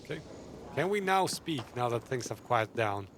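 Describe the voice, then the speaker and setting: A man's voice: mid-range voice, very animated, normal speed, background noise. A man giving casual commentary as he plays a video game. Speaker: gamer commenting on playthrough.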